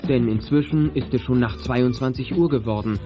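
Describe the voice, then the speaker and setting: A man reading scripted narration for a children's detective audio play, as a calm storyteller. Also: mid-range voice, moderate pace, soft background music, natural voice. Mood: measured.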